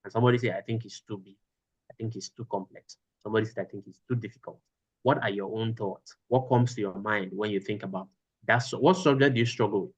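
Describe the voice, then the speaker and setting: A man's voice: on the low side, steady tone, quick pace, husky, low-quality recording. A man teaching a class over a video call, repeating his phrases for emphasis. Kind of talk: online class lecture.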